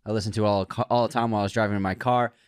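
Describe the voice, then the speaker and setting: A man's voice: on the low side, steady tone, racing, clear, resonant tone, no room sound, studio quality. A man co-hosting a film podcast, chatting casually and enthusiastically with some rambling. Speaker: podcast co-host. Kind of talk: casual chat.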